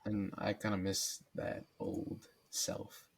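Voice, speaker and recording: Young guy, raspy today, low voice, halting and wistful. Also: completely flat delivery. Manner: hesitant, wistful, many fillers. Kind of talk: casual chat.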